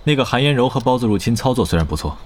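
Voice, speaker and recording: An actor playing a calm, authoritative pro-gaming team captain who speaks in measured, few words. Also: male voice, mid-range voice, lightly acted.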